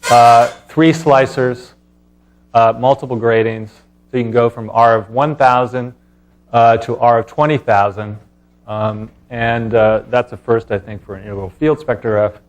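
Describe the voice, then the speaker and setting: A man presenting as a scientist at a conference, speaking in a halting way with pauses mid-thought. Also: medium pitch, fairly even intonation. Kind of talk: conference talk and question answering.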